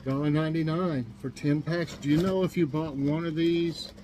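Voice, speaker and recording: A man's voice: fairly high pitch, steady tone, normal speed, background noise. A man in his fifties chatting casually to camera in a small kitchen, rambling with many ums and restarts as he shows off grocery buys. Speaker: vlogger showing a grocery haul.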